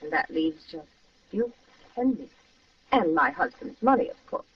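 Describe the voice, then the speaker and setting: A woman's voice: fairly high pitch, animated, normal speed, recorded from a distance. A woman acting a cold, mocking femme fatale in an old radio crime drama. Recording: muffled old radio recording, off-mic.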